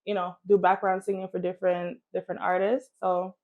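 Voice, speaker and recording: A woman's voice: fairly low pitch, level tone, brisk, phone-quality sound. A woman from Miami and Jamaica, chatting casually and informally as a guest in an interview. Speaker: guest, singer.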